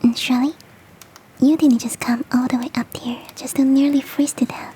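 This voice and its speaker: A woman voicing a big, fluffy, motherly and flirty yeti in a soft, teasing, whispered ASMR roleplay. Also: high voice, steady tone, normal speed, a little hiss or hum, natural voice. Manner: tender, teasing, coaxing.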